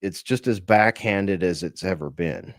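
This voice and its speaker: A man's voice: low voice, unhurried, no room sound, clean studio sound. A man co-hosting an online talk show, chatting casually about politics with lots of fillers and restarts. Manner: rambling, hesitant, many fillers. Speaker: podcast co-host.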